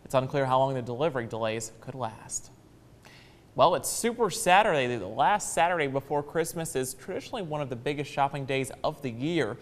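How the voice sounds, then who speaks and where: A male American news anchor delivers scripted copy in a newscast style. His pitch sits in the middle, and the sound carries a long reverb. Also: brisk.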